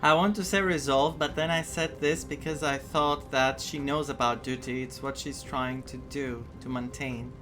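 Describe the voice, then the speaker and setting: A video game streamer giving casual reaction commentary, excitable and dramatic, repeating phrases for emphasis. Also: medium pitch, moderate pace, hardly any pauses. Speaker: streamer playing a story game. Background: game music.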